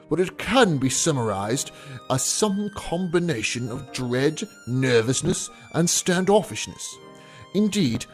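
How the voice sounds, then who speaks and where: Man narrating a story in a measured way. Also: medium pitch, a little mellow. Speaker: storyteller on an audio short story podcast. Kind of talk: scripted literary narration.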